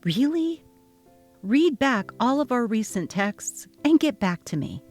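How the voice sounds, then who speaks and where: Brief: woman reads exasperated young mom, sharp and emphatic, dramatic text-story narration. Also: on the high side, big pitch swings, unhurried, soft background music. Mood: defiant. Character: exasperated young mother, fed up and defiant.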